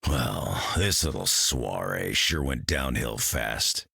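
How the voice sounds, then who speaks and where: An actor playing a gruff, weary old mutant brawler in a video game, with a gravelly growl and grumbling, folksy slang. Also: male voice, extremely low pitch, animated, slow pace, bright, forceful tone, dry recording, clean studio sound, full character voice. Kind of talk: video game character lines.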